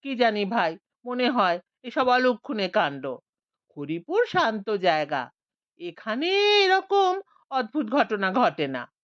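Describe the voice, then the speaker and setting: A woman's voice: fairly high pitch, sing-song, slow, lo-fi sound. A woman voicing a worried old village man. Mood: uneasy. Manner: worried, superstitious. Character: old man over seventy, fretful villager.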